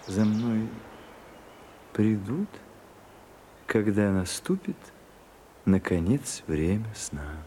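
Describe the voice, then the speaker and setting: An actor playing a languid, melancholy and witty male aesthete with drawn-out, dramatic phrasing in a radio play. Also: on the low side, expressive, dark tone, a little hiss or hum. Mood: wry, resigned. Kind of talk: theatrical radio drama acting.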